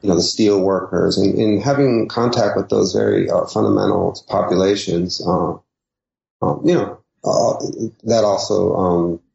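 A man's voice: low-pitched, fairly even intonation, a little slow, gravelly, a little mellow. A man in his fifties from San Diego, a native Spanish speaker, talking as a poet guest in a casual interview, hesitant with many ums and restarts. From San Diego, California. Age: 50s. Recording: echoey lo-fi remote line.

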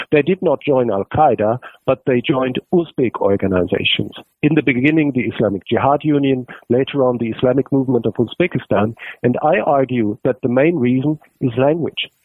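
A man speaks as an expert guest, piecing his explanations together with frequent pauses and restarts. His voice comes through a thin, phone-like line with no room sound. His pitch sits in a middle range for a man. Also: slightly rough, dry recording. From Germany. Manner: hesitant, many fillers and restarts, explanatory. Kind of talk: radio interview answers. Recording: tinny dry phone line.

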